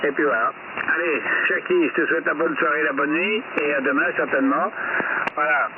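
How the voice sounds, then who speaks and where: A man's voice: on the high side, a little fast. A man from France chatting colloquially as an amateur radio operator, often repeating short phrases. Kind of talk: casual ham radio chat. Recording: narrow noisy radio transmission.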